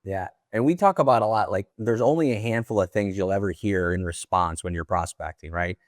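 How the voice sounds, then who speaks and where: A man's voice: lowish voice, brisk, dry recording, studio quality. A man hosting a conversational interview, chatty and effusive, often doubling back on his words. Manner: chatty, effusive, repeats himself. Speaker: podcast host.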